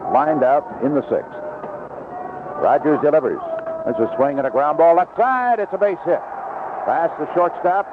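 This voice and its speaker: Tinny vintage radio baseball play-by-play man. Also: a little high. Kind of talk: live radio play-by-play commentary. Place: outdoor ballpark broadcast booth. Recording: tinny, noisy 1970s AM radio broadcast.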